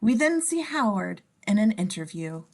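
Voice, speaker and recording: A woman's voice: mid-range voice, big pitch swings, moderate pace, a little mellow. A woman reading scene descriptions in a playful, slangy way, mixing scripted narration with casual joking asides.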